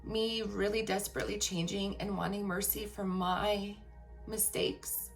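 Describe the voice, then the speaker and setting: A woman vlogger tells her own story straight to her viewers, frank and emphatic, often pausing to check in with them. Her voice is gravelly and worn raspy from a long day. Soft music sits faintly underneath her. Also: medium pitch, level tone. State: voice getting raspy after a long workday. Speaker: vlogger telling a personal story. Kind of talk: candid personal storytelling to viewers.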